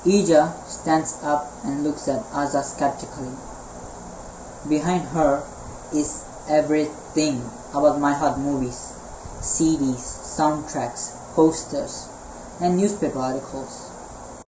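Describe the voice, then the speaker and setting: A woman's voice: sits low, fairly even intonation, slow. A woman reading scripted story narration for an amateur play. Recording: loud noise, insect-like night ambience.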